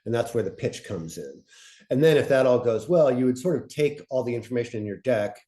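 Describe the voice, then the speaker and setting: A man with a soft, mellow voice talks informally as a panelist. He explains his craft in a measured, conversational way, at an ordinary mid-range pitch. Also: animated. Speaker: panelist, fiction podcast director. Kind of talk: informal instructional talk.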